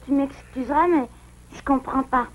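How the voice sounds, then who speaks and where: A woman voicing an earnest, excitable little boy beaver in a French cartoon, with a wide-eyed, emphatic delivery. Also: high voice, normal speed, dull audio, lightly acted. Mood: curious.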